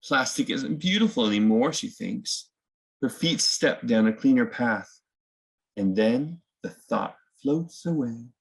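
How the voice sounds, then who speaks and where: A man's voice: medium pitch, animated, a little slow, gravelly, rough recording. A man hosting a children's picture book read-aloud, mixing story narration with casual explanatory asides.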